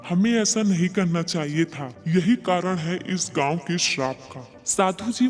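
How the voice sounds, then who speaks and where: A man's voice: a little high, natural voice. A man voicing storybook characters in a Hindi cartoon moral tale. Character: earnest male storybook characters: villagers, sage, reporter. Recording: long reverb, music behind.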